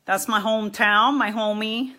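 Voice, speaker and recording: A woman's voice: medium pitch, fairly even intonation, moderate pace, pushed and bright. A middle-aged woman, a therapist from New York and Miami, hosting a casual live stream, chatty and poking fun at herself while greeting viewers by name.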